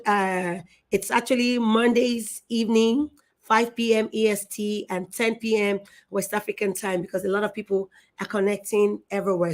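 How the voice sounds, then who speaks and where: A middle-aged woman hosting a live online show, effusive and emotional, repeating herself and restarting her sentences. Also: medium pitch. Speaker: show host.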